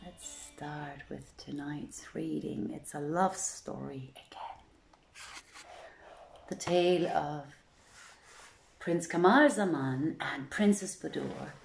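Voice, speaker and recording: A woman's voice: low-pitched, creaky, a little mellow, a little hiss or hum. A woman hosting a storytelling evening and reading in a leisurely, conversational way. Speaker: host and reader of a storytelling series. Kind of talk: informal introduction, then literary storytelling reading. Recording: roomy echo with music underneath. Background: music playing in the background.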